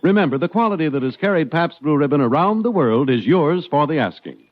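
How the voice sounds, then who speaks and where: A man's voice: fairly high pitch, expressive, fairly quick. An American man announcing a sponsor's message in 1940s radio style, emphatic and salesmanlike. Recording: muffled old radio, loud music.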